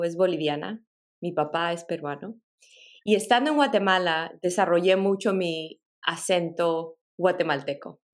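A middle-aged woman from Peru, guest on a podcast interview, telling her life story thoughtfully with small laughs and restarts. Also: mid-range voice. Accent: mixed Latin American Spanish. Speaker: guest, tech product director.

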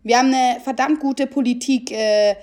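A young woman in a casual two-person conversation, talking with lively emphasis and sprinkling English phrases and swearing through her speech. Her voice sits somewhat high. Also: normal speed. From Germany. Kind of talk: casual chat.

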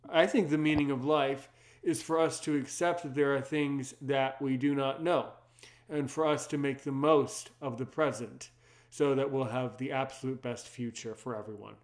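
A man's voice: steady tone, moderate pace. Earnest French-speaking man, thirties. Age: 30s. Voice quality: deep. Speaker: conversation partner. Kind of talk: casual chat.

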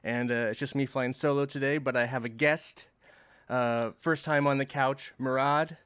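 A male podcast host chatting easily with a guest, his questions loose and full of restarts and fillers. His voice sits in an ordinary middle pitch and comes across close and dry, with no room sound around it. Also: fairly even intonation, moderate pace, a bit bright, clean tone, dry recording. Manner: friendly, rambling questions with fillers. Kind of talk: casual podcast chat.

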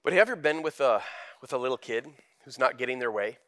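A man's voice: medium pitch, lively intonation, quick pace, a bit bright. A man preaching a sermon as pastor, candid and conversational, building to emphatic rises.

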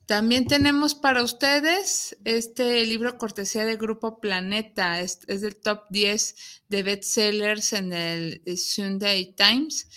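Bright-voiced Mexican woman hosting a show. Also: medium pitch. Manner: explanatory, poses rhetorical questions. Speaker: host of an interactive talk show. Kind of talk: conversational presenting to an audience.

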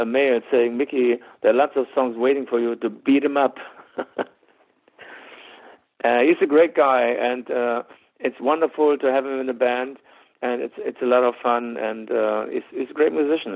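A rock singer with a German accent speaks in a gravelly voice, rambling through his answers with lots of fillers and quick laughs. The sound is narrow and tinny, like a phone call. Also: mid-range voice. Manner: rambling, many fillers and restarts, easy laughs. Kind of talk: interview answers. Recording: tinny phone-line audio.

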